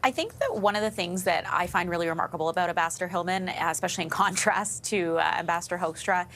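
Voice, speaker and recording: A woman giving measured, thoughtful commentary on a TV political panel. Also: fairly low pitch, a bit bright, slight background noise.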